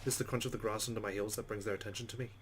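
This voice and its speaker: A man's voice: lowish voice, fairly even intonation, racing, slight background noise. A man hosting a let's play, reading story text aloud and commenting casually on the game. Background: game music.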